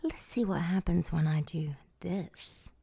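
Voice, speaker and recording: A woman's voice: fairly low pitch, measured pace, vocal fry, warm tone, breathy edge, full character voice. A woman playing a sultry, flirtatious femme fatale in an audio drama, with a velvety, teasing purr. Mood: seductive. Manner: purring, playful seduction.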